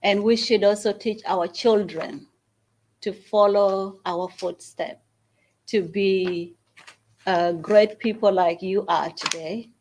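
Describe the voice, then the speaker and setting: Slow, earnest woman from Uganda. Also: medium pitch, steady tone, darkish tone, slight background noise, poor audio. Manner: slow, earnest appeal with long pauses. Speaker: charity founder. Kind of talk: event speech. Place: event hall at a university.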